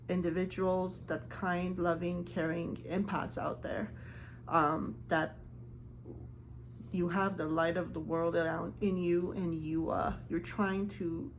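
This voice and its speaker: A woman who grew up speaking Farsi, a life coach talking casually to camera in earnest, halting speech with many ums and restarts. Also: on the low side, slight background noise, low-quality recording.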